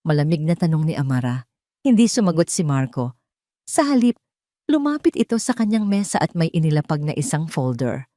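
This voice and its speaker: A woman's voice: deep voice, big pitch swings, brisk, warm tone, dry recording, studio quality. A woman reading a scripted romance story as a dramatic narrator.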